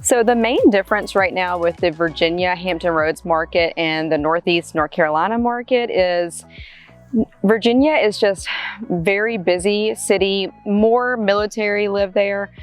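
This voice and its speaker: A woman's voice: medium pitch, a little slow, soft background music. A woman in her thirties with a Southern drawl, a realtor answering interview questions in a casual, friendly way with many fillers.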